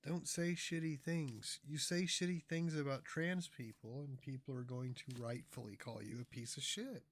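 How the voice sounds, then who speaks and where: A man's voice: mid-range voice, steady tone, normal speed. A male streamer reacting to clips with scornful, heavily profane commentary, mocking the people he watches.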